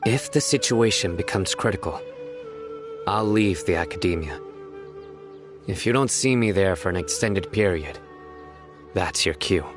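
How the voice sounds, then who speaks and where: Serious guarded official dude, lowish voice, measured, game music in the back. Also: male voice, expressive. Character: stern grown man official, disciplined and wary.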